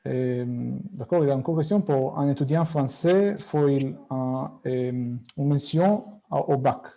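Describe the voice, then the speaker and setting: A man's voice: mid-range voice, measured pace, mellow. A man moderating an online Q&A, reading questions out plainly. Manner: plain, reads questions aloud. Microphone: video call.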